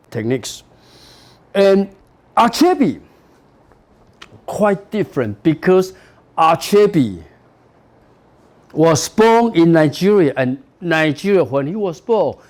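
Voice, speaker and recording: A man's voice: a little high, a little mellow. A middle-aged man from Taiwan, a native Taiwanese speaker with a Taiwanese accent, giving a university lecture in English with frequent "you know" and "okay" and emphatic stresses. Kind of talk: classroom lecture.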